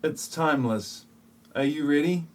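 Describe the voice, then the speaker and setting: A man's voice: on the high side, unhurried, close to an everyday voice. A man acting a solemn mystic visitor in human form, speaking earnestly and portentously in an amateur parody audio play.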